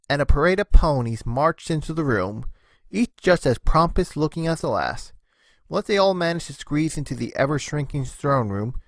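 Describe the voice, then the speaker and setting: A man's voice: a little high, a bit bright, no room sound. A man narrating a scripted story as its narrator, reading in storybook style.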